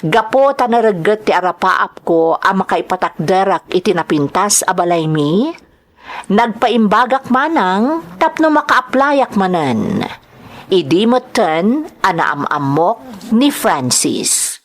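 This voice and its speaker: Female drama host, Ilocano accent, scripted narration with very animated pitch movement. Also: slow pace. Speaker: host and narrator of a radio-style drama show. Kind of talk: scripted announcements and letter narration.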